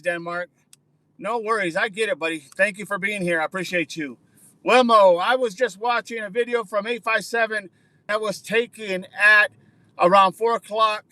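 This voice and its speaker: A man's voice: high voice, pushed and bright, no echo. A man hosting a casual livestream outdoors, chatting with viewers and answering their comments, often repeating words for emphasis. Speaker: livestream host.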